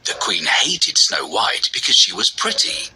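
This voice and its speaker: A man narrating a scripted children's story with a raspy, creaky voice. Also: a little slow, pushed and bright, slight background noise, rough recording.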